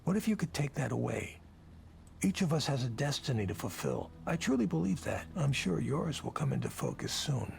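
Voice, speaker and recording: An actor playing a weary, drunk, self-loathing male tech executive in a video game, with measured, gravely earnest delivery. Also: mid-range voice, very animated, darkish tone, a little hiss or hum. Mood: earnest, persuasive. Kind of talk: visual novel voice acting.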